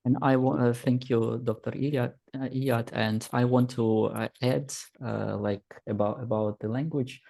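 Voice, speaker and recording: A guest lecturer, a native Ukrainian speaker, answers on a video call. His voice is gravelly and mellow, and he pauses often to find his words. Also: medium pitch. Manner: halting, searching for words. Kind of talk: lecture discussion.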